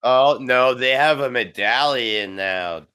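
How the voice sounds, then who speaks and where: Unhurried man chatting casually. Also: mid-range voice, bright, forceful tone, clear, resonant tone, no echo. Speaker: podcast commentator. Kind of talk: casual chat.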